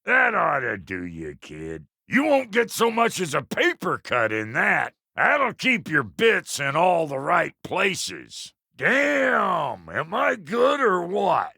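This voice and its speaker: An actor playing a gruff, cocky dwarf blacksmith in a video game, with a rough American accent and a blunt, folksy, cantankerous way of talking. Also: male voice, high-pitched, huge pitch swings, slow, few pauses, pushed and bright, no room sound, clean studio sound, exaggerated voice. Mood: proud, cocky. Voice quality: gravelly, rough. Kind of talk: video game character lines.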